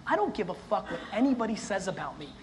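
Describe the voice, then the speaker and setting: A man's voice: sits high, very animated, quick pace, over loud music. A man born in the former Soviet Union, speaking bluntly and intensely with some swearing as a keynote speaker answering audience questions on a stage. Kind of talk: live audience Q&A. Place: stage of a large hall before an audience. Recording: long hall reverb, faint noise.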